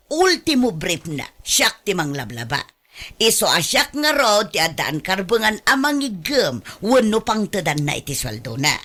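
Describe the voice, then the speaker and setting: Bright-voiced, nagging Ilocano mother. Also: on the low side, exaggerated voice. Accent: Ilocano Filipino. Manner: insistent, nagging, indignant. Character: possessive, money-grasping older mother with a grown son. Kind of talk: Filipino radio drama acting.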